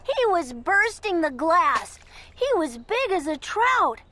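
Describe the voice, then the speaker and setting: A woman with a very high voice reads a children's story aloud. Her delivery is slow and dramatic, with lively swings in pitch. There is clear noise behind her. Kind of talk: expressive children's picture book read-aloud.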